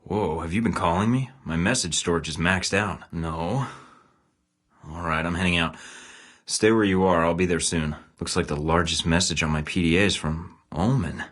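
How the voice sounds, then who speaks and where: A deep-voiced, terse secret agent. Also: male voice, moderate pace, a bit bright. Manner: dry, clipped, matter-of-fact. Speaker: video game protagonist. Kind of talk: recorded game voice lines.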